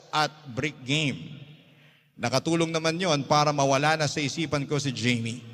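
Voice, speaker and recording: A man playing a wistful thirty-something who narrates his own past in measured, sentimental radio storytelling, with a Filipino accent. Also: fairly high pitch, in a large hall, noisy background, close to an everyday voice. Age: 30s. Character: wistful man in his thirties recalling lost first love. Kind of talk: dramatised first-person radio storytelling.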